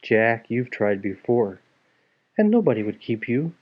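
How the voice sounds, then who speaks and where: A man's voice: mid-range voice, big pitch swings, a little slow. A man voicing a hard-working, worried but wise mother in a fairy tale, plaintive and scolding. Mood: resigned, firm. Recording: dull lo-fi home recording.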